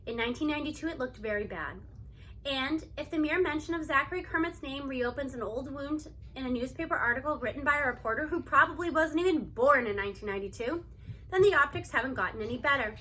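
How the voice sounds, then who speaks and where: A woman reading a children's novel aloud in an expressive narrating style. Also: high voice, fast, low-quality recording.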